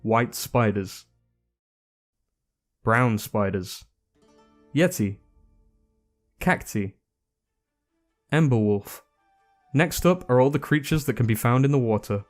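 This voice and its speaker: Man, scripted narration, list reading, very slow delivery, medium pitch, music behind. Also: lively intonation. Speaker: video narrator. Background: background music.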